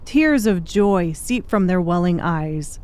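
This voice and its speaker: A woman's voice: on the low side, expressive, takes it slowly, darkish tone, clean tone. A woman reading scripted, dramatic audiobook narration.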